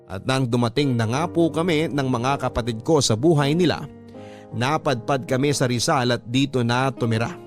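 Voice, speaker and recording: A man's voice: mid-range voice, normal speed, close to an everyday voice. A man narrating a scripted first-person letter for a radio drama, politely and earnestly reminiscing. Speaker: storyteller reading a listener's letter. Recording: music bed under the voice. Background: background music.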